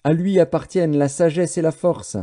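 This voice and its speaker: A man's voice: a little high, fairly even intonation, normal speed, warm tone, voice pushed up, close to an everyday voice. A man reading the part of a devout, prudent young Hebrew man, reverent and solemn, in a scripture audiobook.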